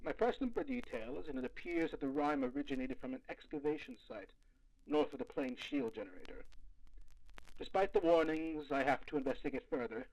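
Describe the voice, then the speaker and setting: A gravelly, fairly high-voiced investigator played by a man dictates field logs for an audio drama. He is wry and jaded, speaking in formal, articulate phrases with lively swings in pitch. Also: fairly quick, low-quality recording. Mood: intrigued, determined. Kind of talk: scripted audio drama log narration.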